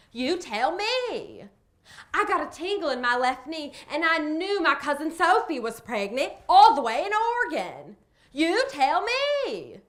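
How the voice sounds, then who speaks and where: A woman playing a boastful, eccentric psychic in a comedy monologue, delivering a mock sales pitch with dramatic emphasis. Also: pushed and bright, heavily acted. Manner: dramatic, emphatic, drawn-out catchphrases. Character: boastful, eccentric female storefront psychic pitching her powers.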